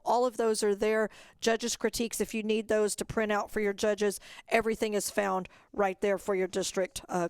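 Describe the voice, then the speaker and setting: A woman's voice: on the high side, clean tone, dry recording. A woman presenting in a webinar as an organizer, explaining procedures informally, step by step.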